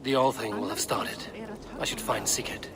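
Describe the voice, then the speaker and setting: A man's voice: medium pitch, normal speed, heavy background noise, natural voice. Blunt Viking warrior played by a man, scornful. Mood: grim scorn. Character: blunt, defiant Viking warrior, loyal and sharp-tongued. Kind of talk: dramatic video game acting.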